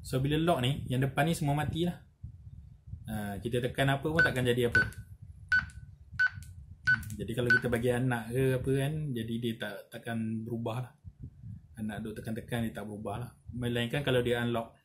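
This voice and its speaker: A Malaysian man talks through a product in a casual tutorial style, at an average male pitch. He explains haltingly, repeating himself and adding small tags like a seller showing a customer. The recording is clearly noisy, with some clicking. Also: fairly even intonation. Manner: halting, repetitive explaining with frequent "lah" and "eh" tags. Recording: noisy, with audible background noise and clicks.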